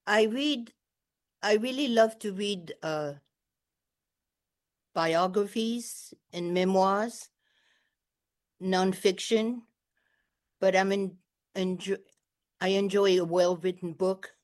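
An older woman, a native French speaker, answering a radio interviewer's questions as a memoirist and poet in a measured, gentle way. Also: mid-range voice.

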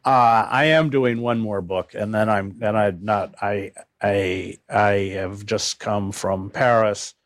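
Older man, low grave voice. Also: fairly even intonation, slow pace, hardly any pauses. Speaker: journalist and author, interviewee. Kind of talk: spoken interview, recounting reporting work.

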